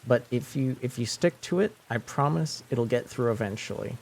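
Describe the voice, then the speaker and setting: A man's voice: mid-range voice, animated, normal speed, gravelly, darkish tone, breathy edge, poor audio. A young man whose first language is French, chatting casually on a live stream, rambling with lots of fillers and restarts. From French-speaking.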